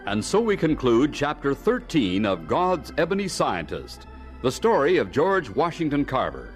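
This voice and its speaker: Male announcer, scripted radio narration, lively intonation, mid pitch, soft music behind. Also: moderate pace.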